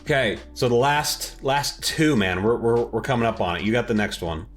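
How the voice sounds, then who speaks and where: A man's voice: mid-range voice, animated, normal speed, soft background music. A young man co-hosting a casual podcast, rambling and restarting his sentences as he searches for words. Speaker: podcast co-host. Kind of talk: casual chat.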